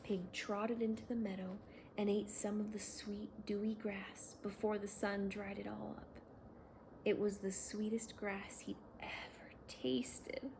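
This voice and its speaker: A woman hosting a children's read-aloud, telling a bedtime story in a gentle, expressive way. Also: mid-range voice, unhurried, gravelly, noisy background. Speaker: children's story reader and host.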